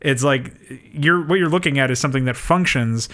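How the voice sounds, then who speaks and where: A man's voice: medium pitch, fairly quick, fairly bright tone. A man co-hosting a podcast, talking through his points in a casual, analytical way with loose, explanatory phrasing. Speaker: podcast co-host.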